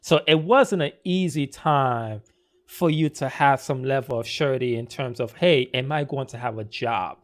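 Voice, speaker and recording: A man's voice: mid-range voice, moderate pace. A man hosts an interview podcast and leads the talk with long, encouraging questions. His manner is casual and friendly, and his voice sits in a middle range for a man. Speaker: podcast host.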